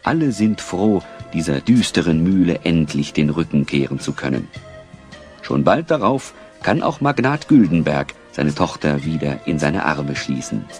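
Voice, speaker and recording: A man narrates a German audio drama. His voice is very deep yet expressive in pitch, telling the story over faint music. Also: a little slow.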